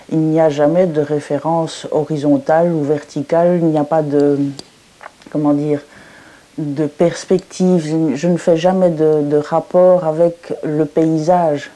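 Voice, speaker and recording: A middle-aged woman from Belgium, an artist interviewed on television, speaking in a thoughtful, measured way and searching for her words. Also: sits low, dark tone. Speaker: painter and philosopher interviewed.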